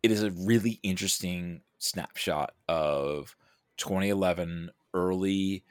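A man with a low-pitched voice talks casually about film on a podcast, recorded close and dry. He works through his thoughts aloud, often halting and rephrasing as he goes. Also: clean tone, no echo. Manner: halting, self-correcting, thinking aloud. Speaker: podcast guest or co-host discussing a film.

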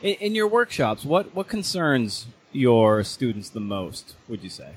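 A man with an average-pitched voice hosts a relaxed, conversational interview. He speaks haltingly, often restarting and rewording his questions before he lands on them. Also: slight background noise. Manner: halting, rephrasing questions as he goes. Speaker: podcast host, interviewer.

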